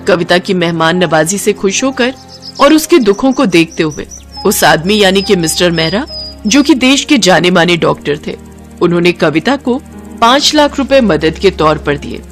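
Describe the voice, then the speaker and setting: A man narrating a cartoon moral story in an expressive, melodramatic storytelling manner. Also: high-pitched, normal speed, faint music behind.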